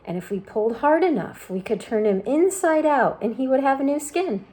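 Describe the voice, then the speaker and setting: A gloomy, fussy storybook earthworm who always expects the worst, as a woman reads him. He speaks a little higher than the narrator's own voice, with a mellow, dark tone. He is mournful even when he offers help. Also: normal speed. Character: gloomy fretful male earthworm.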